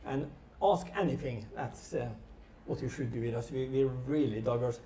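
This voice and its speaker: A man's voice: medium pitch, normal speed, dark tone. A middle-aged man from Norway with a Norwegian accent, speaking informally as a technology panelist. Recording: echoey room, distant mic, background noise.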